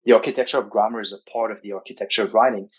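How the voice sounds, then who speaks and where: Man, husky, lowish voice, measured explanations. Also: fairly even intonation. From Quebec, Canada. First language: French. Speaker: writing coach, company founder. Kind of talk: interview answers, personal story.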